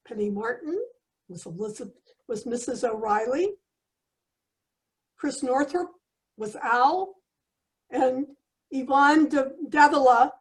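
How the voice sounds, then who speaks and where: A woman's voice: high-pitched, rough recording. A woman announcer reading scripted credits for a radio comedy.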